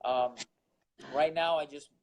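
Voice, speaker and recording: A man's voice: a little high, fairly even intonation, slow, slight background noise. A young man from Russia, a guest entrepreneur, talking earnestly about his daily grind, repeating words and using many fillers. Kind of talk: casual interview talk.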